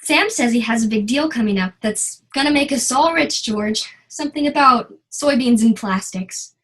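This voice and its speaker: Radio play role, actress: caring young wife, high-pitched yet husky, gentle and practical. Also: female voice, normal speed. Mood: coaxing. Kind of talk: old-time radio drama acting.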